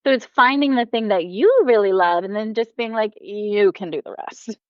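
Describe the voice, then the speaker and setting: A woman's voice: mid-range voice, normal speed, no echo. Upbeat American woman guest, slightly dull sound. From United States. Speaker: podcast guest, teacher business owner. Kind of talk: casual podcast conversation.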